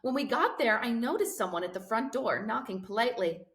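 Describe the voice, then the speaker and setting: A woman's voice: on the high side, animated, fairly quick, fairly bright tone. Woman reading a storybook, roomy echo. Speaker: reader of a children's novel read-aloud. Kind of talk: expressive storybook narration. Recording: roomy, echoing sound.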